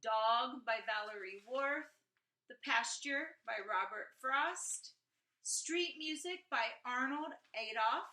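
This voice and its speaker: A woman teaching through a read-aloud, talking straight to young listeners in an encouraging way. Her voice is strikingly bright and a little high, with unhurried pacing, heard in an echoey room. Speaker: teacher reading aloud to students. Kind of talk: expressive instructional read-aloud.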